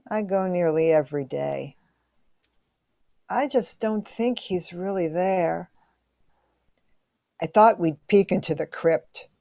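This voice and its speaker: A woman's voice: on the low side, mellow, dry recording, studio quality. A woman playing a grieving, hopeful young 1920s little sister in a radio play.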